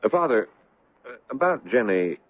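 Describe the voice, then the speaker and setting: An actor playing an earnest, haunted young doctor in a 1970s radio drama, wistful and deliberate. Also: male voice, medium pitch, very animated. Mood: earnest, troubled. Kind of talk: 1970s radio drama acting, intimate narration and dialogue. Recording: muffled old 1970s radio broadcast.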